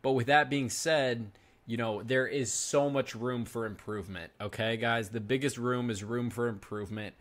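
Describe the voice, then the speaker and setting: A man's voice: mid-range voice, steady tone, normal speed, fairly bright tone. A man in his twenties giving casual reaction commentary as a finance video host, chatting to his viewers and calling them "guys" often. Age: 20s.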